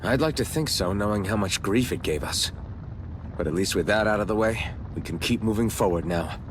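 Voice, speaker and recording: A man's voice: fairly low pitch, expressive, a little fast, noisy background, close to an everyday voice. A man voicing a resolute young warrior who leads his party in a dramatic video game. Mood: thoughtful.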